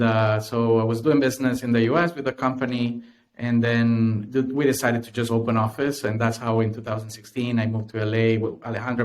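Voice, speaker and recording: Man, Honduran Spanish accent, podcast guest, lots of uh and restarts, mid pitch. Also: darkish tone. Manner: hesitant, many fillers and restarts. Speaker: guest, tech company cofounder. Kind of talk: podcast interview.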